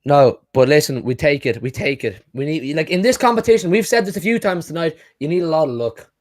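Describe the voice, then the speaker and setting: A man's voice: a little high, lively intonation, a little fast. A young man hosts a football watch-along, talking in a slightly raised voice. He rants hard, swears freely and sounds like he is losing his voice. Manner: emotional, swears, rants then celebrates.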